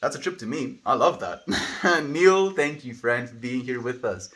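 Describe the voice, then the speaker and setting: A man's voice: medium pitch, lively intonation, normal speed, a bit bright. A man with a South African accent, a live streamer chatting casually with his viewers and rambling through musings while calling them dude and friend. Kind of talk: casual livestream chat reading viewer comments.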